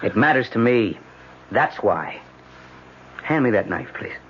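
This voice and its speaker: An actor playing an intense, determined lawyer in a radio drama, speaking with insistent urgency. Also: male voice, mid-range voice, big pitch swings, unhurried. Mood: grimly determined. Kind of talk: 1970s radio drama acting. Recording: muffled, hissy old radio broadcast.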